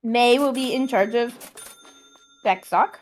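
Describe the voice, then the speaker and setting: A woman's voice: a little high, slow. A woman chatting casually while playing a game, often reading its text aloud. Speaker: guest gamer. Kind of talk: casual chat while gaming.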